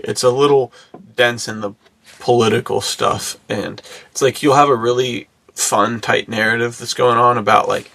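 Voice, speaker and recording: A man's voice: medium pitch, vocal fry, bright, forceful tone, no echo. A man co-hosting a podcast in casual, slangy, bro-ish chat with an enthusiastic manner. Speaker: podcast co-host. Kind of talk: casual podcast chat about film and menswear.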